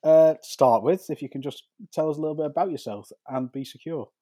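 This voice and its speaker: A man's voice: a little high, brisk, mellow, dry recording. A man hosting a podcast, presenting and putting questions into a close microphone. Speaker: podcast host. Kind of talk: scripted introduction and interview questions.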